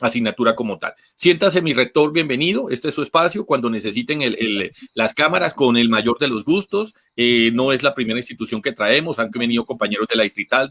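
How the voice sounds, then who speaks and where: A man with a forceful, bright voice and a Bogotá accent hosts a live online talk. He speaks informally and at length, circling back over his phrases with courteous forms of address. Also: medium pitch. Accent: Bogotá Colombian. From Atlántico, Caribbean coast of Colombia. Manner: wordy, repetitive, courteous address. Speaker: host of an academic talk programme.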